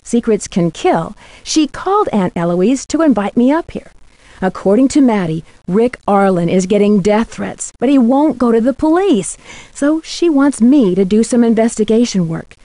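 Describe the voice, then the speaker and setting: A woman's voice: medium pitch, warm tone, no room sound, lightly acted, low-quality recording. A young American woman voicing an inquisitive, teasing amateur detective in a video game, in scripted lines. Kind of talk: scripted game dialogue and letter narration.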